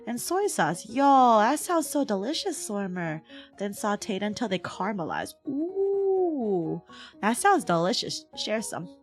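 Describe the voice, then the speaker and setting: A woman livestreamer with a hoarse, cracking voice, chatting casually with her viewers in a playful, giggly manner. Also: medium pitch, sing-song, measured pace. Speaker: livestream host, VTuber. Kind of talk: casual livestream chat, reading and answering chat messages. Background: background music.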